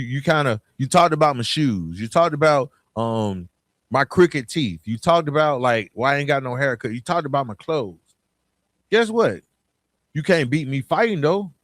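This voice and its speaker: A man's voice: a little high, fairly bright tone, no room sound, clean studio sound. A man with an Atlanta Southern accent giving an informal motivational podcast monologue, conversational and emphatic, often repeating phrases and posing questions. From Atlanta, southern United States. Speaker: podcast host.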